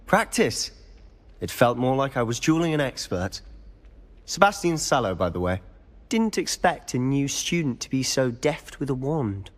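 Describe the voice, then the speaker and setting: A man's voice: medium pitch, big pitch swings, big-room sound, lightly acted. A young man voicing a charming, rule-breaking teenage wizard student with a conspiratorial manner. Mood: sly. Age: young adult. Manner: charming, conspiratorial, quick-witted.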